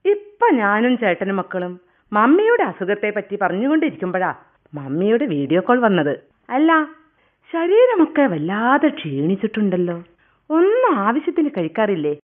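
An actress playing a daughter on a video call in a radio play, sweet and overly solicitous, heard through a phone effect. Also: female voice, medium pitch, huge pitch swings, normal speed. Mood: sugary. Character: daughter on a video call, sugary and falsely caring. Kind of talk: radio drama acting. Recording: tinny phone line.

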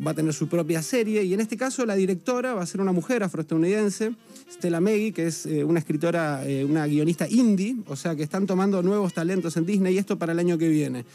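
A man's voice: on the high side, mellow. A man with a Rioplatense Argentine accent, speaking as an entertainment columnist in a casual radio chat, chatty and informative with many filler sounds. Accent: Rioplatense Spanish.

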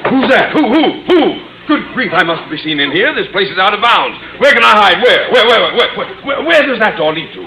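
An actor playing a pompous middle-aged school principal, blustering and stammering in an old-time radio sitcom. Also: male voice, sits high, very animated, a little fast, few pauses, a crowd in the background. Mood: flustered panic. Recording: noisy old radio recording, studio audience.

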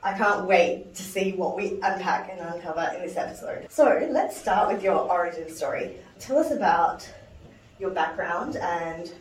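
A woman's voice: on the low side, animated, moderate pace. A woman hosting an interview show, asking friendly, curious questions. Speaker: podcast host. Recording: echoey room, mic at a distance.

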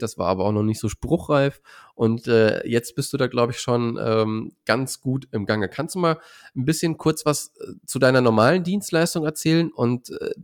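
A man hosting a casual podcast interview, a bit sick and slightly nasal, talking with many hesitant fillers. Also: mid-range voice. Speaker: podcast host.

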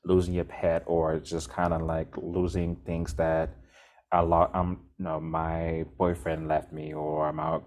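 Deep-voiced man rambling in podcast chat. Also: a little slow. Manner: rambling, restarts often, animated bursts. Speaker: podcast co-host.

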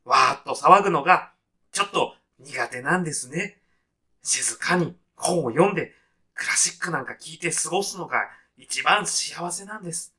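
A man's voice: fairly high pitch, expressive, normal speed, pushed and bright, heavily acted. A man playing a timid, straitlaced salaryman in a comic radio sketch, speaking politely, hesitantly and with reserve. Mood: hesitant, uneasy. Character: timid, straitlaced salaryman newly arrived in heaven.

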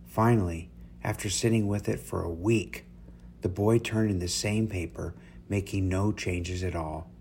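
Low-voiced man reading an inspirational story. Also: fairly even intonation, a little slow, darkish tone. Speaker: story reader, host. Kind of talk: scripted inspirational story narration. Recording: noticeable background noise and hum.

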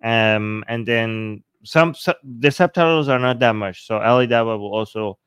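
Smooth-voiced man hosting a livestream. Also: mid-range voice, normal speed, fairly bright tone, dry recording. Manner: thinking aloud, reading subtitles to viewers. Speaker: show host. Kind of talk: livestream reaction commentary.

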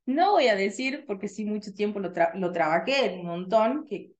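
A woman in her thirties from Tucumán with a slightly softened Tucumán Argentine accent, co-hosting a casual radio podcast chat with trained, clear articulation. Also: medium pitch, moderate pace, highs cut off. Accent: Tucumán Argentine Spanish, slightly softened. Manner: chatty, lively, trained articulation.

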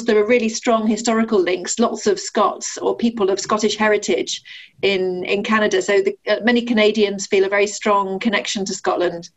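A woman, answering interview questions in a friendly, conversational way with many fillers and restarts. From Sheffield, England. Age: 40s. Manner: friendly, frequent fillers and restarts, laughs easily. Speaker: ambassador, interview guest. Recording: muffled, low-fidelity audio.

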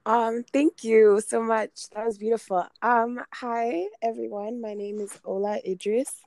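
A woman's voice: on the high side, steady tone, measured pace, gravelly, darkish tone, no room sound. A young woman from Sudan, a university student, speaking as a podcast guest in a chatty way, with many fillers and restarts. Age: young adult. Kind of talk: casual interview talk.

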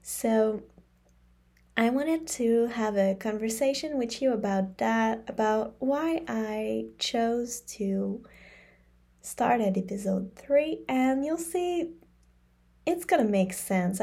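A woman whose first language is French, hosting a solo podcast in a slow, reflective monologue that repeats phrases for emphasis. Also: fairly high pitch, animated, gravelly, dark tone. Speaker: podcast host.

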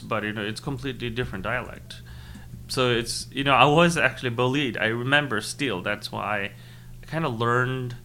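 A young man from Nepal speaks, his being Khas. He answers in a thoughtful, halting way, full of fillers and restarts, at a middle pitch. A faint hum runs under the recording. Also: vocal fry, a bit bright. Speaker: interviewee. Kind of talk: casual interview.

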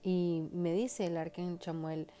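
A woman's voice: on the low side, little pitch movement, measured pace, mellow, faint music behind. A woman hosting her own podcast in a casual, earnest and heartfelt monologue, rambling with lots of fillers. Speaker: podcast host.

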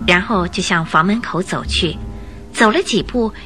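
A woman's voice: lowish voice, normal speed, sharp tone. A woman narrator reading scripted storytelling narration for a radio drama. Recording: radio drama with music behind.

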